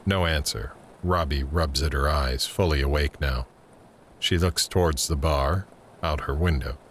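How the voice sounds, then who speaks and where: A man with an extremely low voice narrates an audio drama. He reads scripted scene headings and action as a storyteller setting each moment. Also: unhurried, a little hiss or hum.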